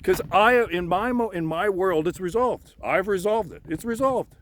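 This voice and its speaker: A man in a halting, rambling unscripted monologue, frustrated and insistent, swearing and repeating himself. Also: high-pitched, fairly bright tone. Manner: frustrated, insistent, profanity-laced; halting, repeats words and restarts. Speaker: amateur theorist making videos. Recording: noticeable background noise.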